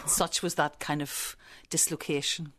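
Low, dry-sounding Belfast woman reminiscing. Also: fairly even intonation, slow pace, a bit bright, no room sound. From Belfast, Northern Ireland. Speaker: interview guest, visual artist. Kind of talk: conversational reminiscence.

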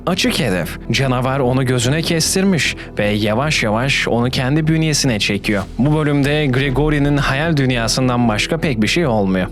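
A man narrating a scripted story recap in a casual, storytelling way with short, declarative sentences. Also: medium pitch. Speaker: video essay narrator.

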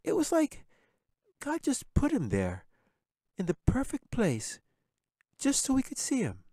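A man's voice: on the high side, very animated, slow, a little mellow, dry recording, pitched above the performer's own voice. A man voicing a cheerful, dreamy little girl in an audiobook, speaking sweetly in a sing-song way. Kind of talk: audiobook character reading.

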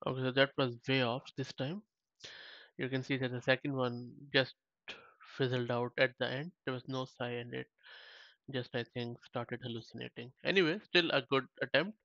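Chatty man demoing software, dull dry sound. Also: mid-range voice, steady tone, moderate pace, no room sound. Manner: chatty, thinks aloud, frequent restarts. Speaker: tech tutorial host. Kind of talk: casual screen-share walkthrough.

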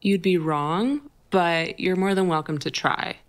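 Slow, low-voiced flirty best friend, played by a woman. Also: background noise, close to an everyday voice. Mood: excited, playful. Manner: teasing, halting, giggly delivery. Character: playful, flirty, tender female best friend with a crush. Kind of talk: intimate ASMR roleplay, acted one-sided conversation.

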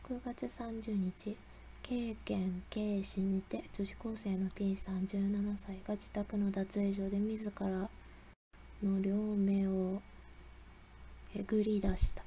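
A sombre horror narrator, performed by a woman with a hushed, breathy delivery. The voice sits at a middle pitch on a clearly noisy amateur recording. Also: flat delivery, takes it slowly. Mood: sombre, eerie. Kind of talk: scripted horror story narration.

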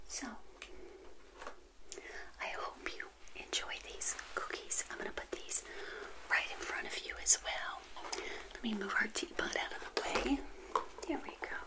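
A woman's voice: a little high, poor audio. Whispering middle-aged woman as friendly witch. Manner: gentle, unhurried, intimate personal attention. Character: friendly witch hosting a tea party. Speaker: ASMR host playing a friendly witch. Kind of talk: whispered ASMR roleplay. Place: small indoor set, seated. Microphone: close to the mic, facing the camera.

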